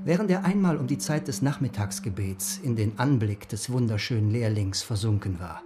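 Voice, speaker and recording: An actor playing an Ottoman miniature painter in a radio drama, telling fables in a deliberate, formal way with drawn-out emphasis. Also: on the low side, expressive, background noise, natural voice. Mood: measured storytelling. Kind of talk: literary radio drama storytelling. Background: music.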